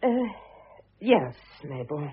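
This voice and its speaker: A sharp-tongued British mother, animated, tinny radio. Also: low-pitched, slow pace, breathy edge, full character voice. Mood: subdued. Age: middle-aged. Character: dignified, weary, sharp-tongued middle-aged mother and former wife. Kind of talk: vintage British radio drama acting. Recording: muffled, phone-like old radio recording.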